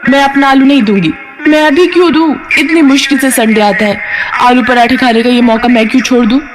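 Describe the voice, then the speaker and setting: A woman's voice: high-pitched, brisk, music in the background, voice pushed up, natural voice. Woman acting a proud, squabbling young daughter-in-law. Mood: indignant, bickering. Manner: indignant, childishly argumentative. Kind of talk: Hindi cartoon family drama acting.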